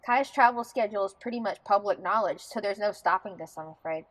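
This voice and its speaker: A woman's voice: medium pitch, fast, close to an everyday voice, rough recording. A woman playing a feisty, blunt young farm woman and bride-to-be in an audio drama, forthright and sardonic. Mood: exasperated.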